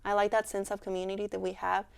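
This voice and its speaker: A woman's voice: medium pitch, completely flat delivery, quick pace, vocal fry, clean tone. A young woman from Mexico with a Mexican Spanish accent, earnestly answering interview questions with many fillers. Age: young adult. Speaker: student club member, interviewee.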